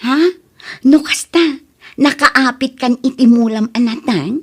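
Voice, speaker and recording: A woman's voice: sits high, a little slow, breathy edge. A middle-aged woman with an Ilocano accent, acting a plain-spoken, concerned provincial mother in a comedy drama. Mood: excited. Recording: noticeable background noise.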